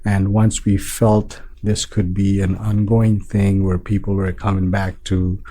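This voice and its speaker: A man from Nepal, a restaurant owner being interviewed, talking in a relaxed way with many fillers and restarts. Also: sits low, measured pace. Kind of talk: casual interview talk.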